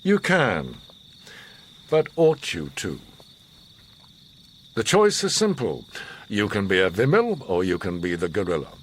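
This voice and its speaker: An actor playing a grave, commanding, enigmatic older war survivor in a radio drama, speaking in a measured, solemn, philosophical way. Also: male voice, on the low side, wildly swooping pitch, slight background noise. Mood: stern. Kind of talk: radio drama monologue and dialogue.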